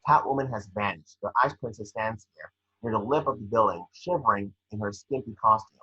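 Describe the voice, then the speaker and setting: A man with a husky voice reading scene descriptions at a table read, sometimes stumbling over the script. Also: fairly low pitch, brisk, bright, forceful tone, muffled recording.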